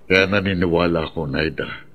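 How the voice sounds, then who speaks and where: A man playing a gentle old grandfather, soothing and solemn, speaking with measured care in a radio drama. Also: low voice, steady tone, highs cut off, lightly acted. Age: older. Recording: faint background noise and ambience.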